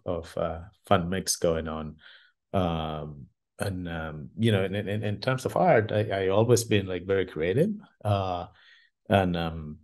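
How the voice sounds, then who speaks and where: A man from Argentina answering casually as a podcast guest, halting and thinking aloud as he goes. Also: sits low, darkish tone. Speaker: podcast guest, engineer and artist.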